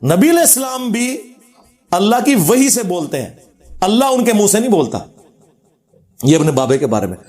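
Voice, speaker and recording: Male religious lecturer, high voice, Pakistani Punjabi accent. Informal, combative rebuttal style with a sardonic edge. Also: very animated, normal speed, long pauses, a little mellow. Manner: argumentative, sardonic, quoting scripture.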